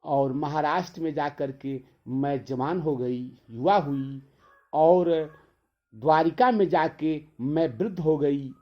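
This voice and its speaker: A man voicing a reverent, distressed young mother who pleads in halting phrases, in a devotional tale. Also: a little high. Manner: beseeching, halting. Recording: dull, lo-fi sound.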